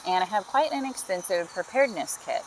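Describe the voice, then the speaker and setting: A woman's voice: mid-range voice, expressive, brisk, slight background noise, rough recording. A chatty woman from Texas, hosting a casual vlog and saying y'all. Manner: chatty, friendly, uses y'all. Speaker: host. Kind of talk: casual product demo vlog.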